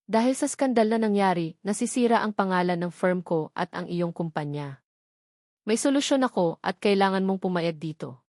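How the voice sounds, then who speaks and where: A woman's voice: medium pitch, expressive, normal speed, a little mellow, dry recording, clean studio sound, close to an everyday voice. A Filipino woman voicing a blunt, resentful male lawyer in a dramatic audio storybook. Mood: stern, blunt. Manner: blunt, commanding.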